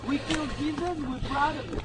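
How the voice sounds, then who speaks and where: A man shouting angry curses and threats at someone filming him outdoors. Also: extremely high pitch, fairly even intonation, normal speed, crowd noise, poor audio. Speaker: settler confronting activists. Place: outdoors on farmland. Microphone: handheld camera held by another person. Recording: outdoor camcorder audio with noise.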